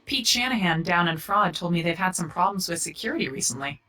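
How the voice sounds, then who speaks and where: An actress playing a direct, tough police detective in a video game. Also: female voice, lowish voice, expressive, fast, far from the mic, natural voice. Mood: concerned. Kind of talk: video game dialogue acting.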